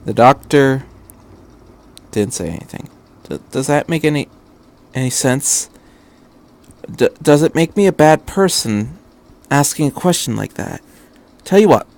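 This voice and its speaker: Man, medium pitch, amateur story reader, casual rambling asides, halting, repeats words. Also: expressive, gravelly, darkish tone. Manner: halting, stammering, repeats himself. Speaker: amateur fan fiction reader.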